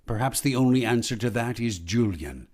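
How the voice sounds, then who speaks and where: A man's voice: mid-range voice, lively intonation, normal speed, darkish tone, close to an everyday voice. A man playing an ominous, knowing narrator in an old-time radio suspense drama. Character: ominous, knowing mystery narrator addressing the heroine.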